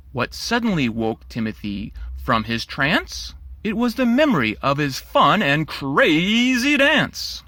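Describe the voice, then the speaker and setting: A man's voice: a little high, sharp tone, dry recording. A man narrating a rhyming children's storybook in a theatrical, playful way.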